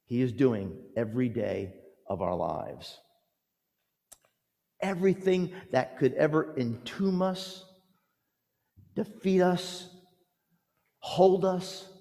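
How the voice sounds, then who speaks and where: A man preaching a storytelling sermon with a theatrical, emphatic delivery, using dramatic pauses and moving between loud proclamation and hushed lines. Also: medium pitch. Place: large reverberant church or hall. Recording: echoey hall with long reverb.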